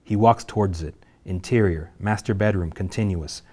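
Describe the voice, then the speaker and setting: A man narrates the action lines at a script table reading. His voice sits low, and he reads with steady, vivid pacing. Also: normal speed. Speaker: narrator reading stage directions.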